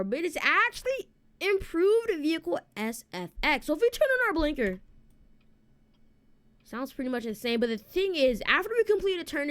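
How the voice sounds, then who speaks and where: Female game presenter, high and very animated, informal walkthrough commentary aimed straight at viewers. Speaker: video game streamer and presenter. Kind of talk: casual gameplay walkthrough commentary.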